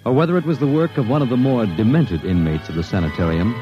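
An actor in an old radio drama playing a sober, official-sounding narrator with a formal, measured delivery. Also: male voice, medium pitch, music in the background, lightly acted. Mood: solemn. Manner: measured, solemn. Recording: dull vintage radio recording.

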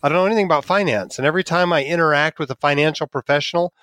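A man's voice: on the high side, very fast, fairly bright tone, no room sound. A man from Alaska, a physician and blogger, telling his story candidly as a podcast guest, chuckling at himself now and then. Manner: candid, self-deprecating, chuckles while talking. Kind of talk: casual interview storytelling.

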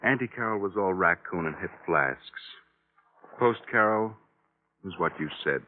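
Flippant, low-voiced bookish graduate student. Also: male voice, lively intonation, a little slow, a little hiss or hum. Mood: wry, cynical. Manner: arch, wry, affected wordiness. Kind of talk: old-time radio drama acting. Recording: narrow, tinny old radio recording.

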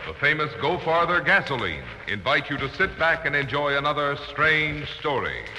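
Dramatic, animated vintage radio announcer, man. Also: fairly high pitch, faint music behind. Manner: formal, dramatic, measured. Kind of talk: 1940s radio announcing, scripted. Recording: noisy old radio recording.

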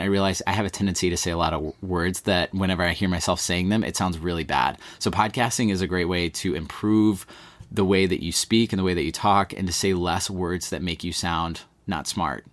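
Deep-voiced man with an East Texas twang. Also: brisk, dry recording. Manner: relaxed, rambling, many fillers like "like". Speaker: podcast host being interviewed. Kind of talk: casual conversational interview.

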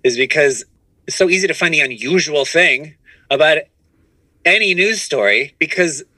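A man talking casually as a comedian guest on a podcast, animated and often restarting his phrases. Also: fairly high pitch, moderate pace, dry recording, poor audio. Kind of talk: casual conversational interview answers.

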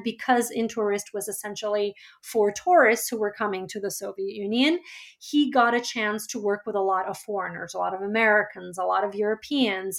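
A woman in her thirties from Georgia, whose first language is Georgian, telling her life story in a reflective, measured way. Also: mid-range voice. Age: 30s. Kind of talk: personal storytelling.

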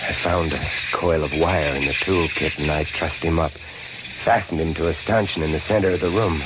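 An actor playing a tense lighthouse keeper who recounts his ordeal dramatically, with deliberate pauses, in an old radio horror drama. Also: a bass voice, fairly even intonation, very noisy. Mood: grim. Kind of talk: old-time radio horror narration and acting. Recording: muffled old radio recording, loud music behind.

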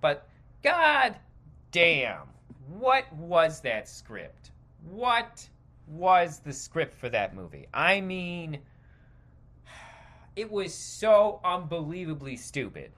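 A man's voice: on the high side, wildly swooping pitch, very slow, pushed and bright. A man hosting a podcast, delivering a casual, opinionated rant in a rambling, digressive and emphatic way. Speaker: podcast host.

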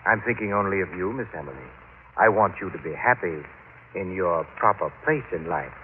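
A butler in a vintage radio play, his voice low and soft-spoken. He is servile on the surface and coldly insinuating underneath. The recording is thin and tinny, with a light layer of noise. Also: animated, brisk. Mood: reassuring, deferential. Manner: deferential, insinuating. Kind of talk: old-time radio drama acting.

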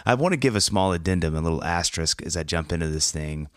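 A man's voice: low voice, animated, fast talker, no echo, studio quality. A man hosting a podcast in a casual, rambling, jokey way, sounding a bit scratchy from a cold. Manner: rambling, jokey, self-mocking asides. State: has a cold, slightly scratchy. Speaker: podcast host. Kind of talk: casual monologue intro.

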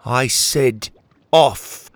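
A man voicing a gruff, protective young adventurer in a children's story, speaking in terse, defiant bursts. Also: medium pitch, very slow, no echo. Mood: angry, defiant. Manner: growling, terse commands.

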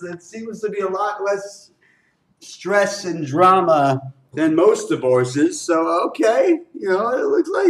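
A man's voice: high voice, low-quality recording. A man hosting a reaction video with casual, slangy commentary, often repeating phrases for emphasis.

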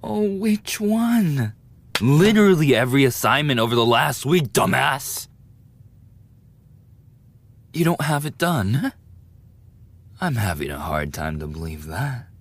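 A man's voice: mid-range voice, expressive, takes it slowly, pauses often. A man playing a cruel, mocking teenage delinquent bully in a dramatic roleplay audio, with a taunting, sneering, menacing delivery. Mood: demanding, irritated, then menacing anger.

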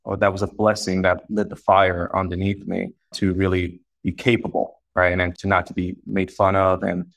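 A man's voice: low-pitched, little pitch movement, moderate pace, creaky, hardly any pauses, a bit bright. A man in his thirties from northeast China, talking earnestly and reflectively in a casual interview, often repeating words as he restarts. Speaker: guest, company founder.

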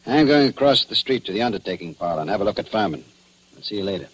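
An actor playing a wry, dogged small-town police chief in a 1940s radio drama, folksy and full of probing questions. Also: male voice, fairly low pitch, expressive, fast, natural voice, poor audio. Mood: wry, pressing. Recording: hissy old radio recording.

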